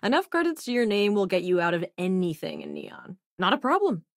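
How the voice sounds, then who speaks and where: A woman's voice: medium pitch, wildly swooping pitch, a little fast, creaky, no room sound, studio quality. A woman voicing a sardonic, self-assured young female crewmate and researcher in a video game, with a casual, wry delivery. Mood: wry, relaxed.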